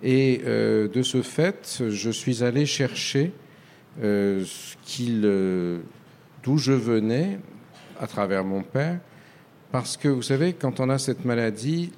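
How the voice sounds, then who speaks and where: An older man from France, a writer answering interview questions in a halting, reflective way with frequent hesitations. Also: mid-range voice, darkish tone, a little hiss or hum. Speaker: author guest. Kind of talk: radio interview.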